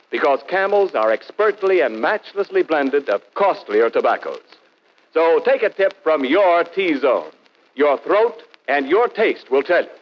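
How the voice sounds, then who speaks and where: Male announcer, 1940s radio, emphatic sales delivery, fairly high voice, animated intonation, dull old recording. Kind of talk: 1940s radio advertising pitch and banter.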